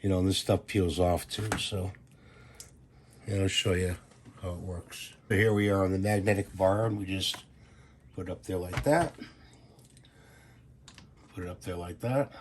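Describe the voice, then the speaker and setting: A man with a hoarse voice from a cold, hosting his own channel and casually walking through a simple do-it-yourself fix with plain, unhurried instructions. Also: sits low. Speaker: DIY channel host. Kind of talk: casual how-to demonstration.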